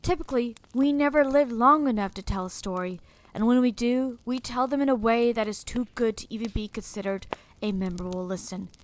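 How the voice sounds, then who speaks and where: A woman's voice: fairly high pitch, a little fast, a little hiss or hum, lightly acted, low-quality recording. A woman performing a sombre, regretful teacher who narrates a dramatic first-person story. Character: sombre, regretful teacher haunted by lost students.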